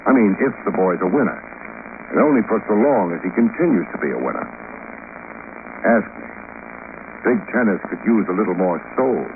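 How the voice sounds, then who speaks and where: A low-voiced, wry radio private eye. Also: male voice, very animated, fairly quick, pauses often. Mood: dry, wry. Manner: dry, world-weary, probing. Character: cool, skeptical male private investigator, hard-boiled and wry. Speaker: lead actor and narrator of a radio detective drama. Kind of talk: 1950s radio detective acting, first-person narration. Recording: scratchy, noisy, narrow-band 1950s radio recording.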